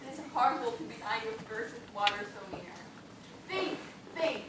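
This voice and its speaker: A woman's voice: fairly high pitch, animated, normal speed, close to an everyday voice. Distant, echoey, desperate stage dancer played by a woman. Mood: scheming, desperate. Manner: melodramatic, pleading, formal stage diction. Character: desperate vain woman dancer dying of thirst, stranded at sea. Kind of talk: amateur one-act stage play acting. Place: indoor theatre stage, recorded from the audience distance. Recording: echoey noisy hall from far off.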